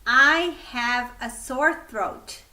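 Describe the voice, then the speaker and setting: Very slow, bright-voiced woman teacher. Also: high-pitched, reverberant. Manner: careful, deliberate enunciation. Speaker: English teacher. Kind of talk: slow model sentences for learners.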